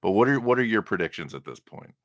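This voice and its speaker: A man hosting a podcast, chatting in a relaxed, conversational way. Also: fairly low pitch, fast, no room sound, muffled recording. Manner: relaxed, conversational, uses "like" as a filler. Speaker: podcast host.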